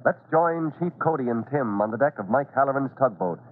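A man's voice: mid-range voice, lively intonation, brisk. A man giving dramatic scripted narration as the announcer of a 1940s radio serial. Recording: tinny vintage radio recording, light noise.

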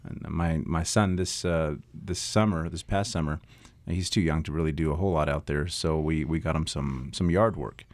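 Deep-voiced halting middle-aged man. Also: no room sound. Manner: halting, repeats words. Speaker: show co-host. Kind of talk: casual talk show chat.

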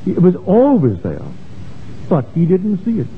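A man's voice: sits high, wildly swooping pitch. A man from Barbados giving a sermon-like spiritual lecture, telling personal stories emphatically and drawing out his quotations. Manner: emphatic storytelling with drawn-out quotations. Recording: tinny, band-limited, noisy old lecture recording.

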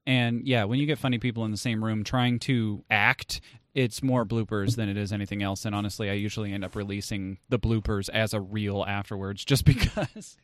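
A man hosting a casual, joking podcast interview in relaxed conversational language. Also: on the low side, brisk, dry recording. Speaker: podcast host.

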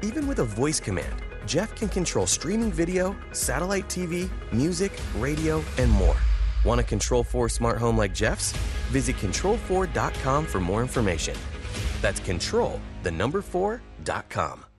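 A man's voice: mid-range voice, very animated, moderate pace. A man narrating a scripted radio advert told as a short story. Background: music.

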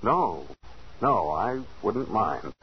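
A man's voice: on the low side, very animated, extremely slow, full character voice. A man playing a proud, jealous, domineering husband in a 1940s radio drama, curt, commanding and needling. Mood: strained. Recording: dull, hissy 1940s radio recording.

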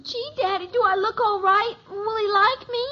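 A woman's voice: very high-pitched, fairly even intonation, moderate pace, bright, forceful tone. An actress playing an anxious, tearful little girl, a tomboy kid sister, in a 1950s radio sitcom. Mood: eager, nervous. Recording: dull, faintly noisy old radio recording.

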